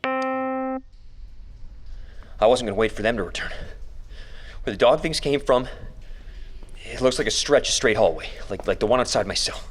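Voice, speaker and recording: An actor playing a frightened, desperate trapped man with a wry streak, recording himself in a breathy audio drama monologue. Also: medium pitch, moderate pace, pauses often, soft background music, natural voice. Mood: anxious, puzzled.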